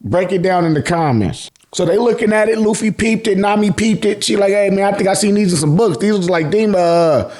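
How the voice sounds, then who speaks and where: A man's voice: sits high, brisk, few pauses, darkish tone. An American man hosting a casual, slangy recap, telling the story colloquially and acting out reactions. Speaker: YouTube host recapping manga.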